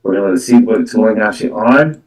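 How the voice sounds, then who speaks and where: A low-pitched man speaks from a little way off the microphone in an echoey room. He gives spiritual card readings as a medium, improvising as he goes. His phrasing is halting and circles back on itself, padded with repeated filler words. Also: a little mellow, far from the mic. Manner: repetitive, halting phrasing with verbal tics like "though" and "actually".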